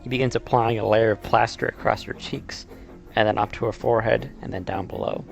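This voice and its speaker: A man's voice: fairly low pitch, steady tone, a little fast, vocal fry, dull audio, soft background music. A man narrating a video essay about games, mixing storytelling with casual, conversational asides. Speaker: YouTuber, video game explainer. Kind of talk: video essay narration, semi-scripted retelling and commentary.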